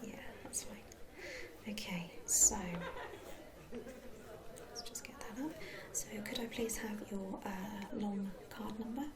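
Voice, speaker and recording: British lady doing asmr receptionist roleplay, soft breathy whisper, gentle and reassuring, bit of people murmur behind. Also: mid-range voice, fairly even intonation, slow, long pauses, a loud crowd. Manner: gentle, reassuring customer-service patter with endearments. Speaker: ASMR performer playing a club receptionist.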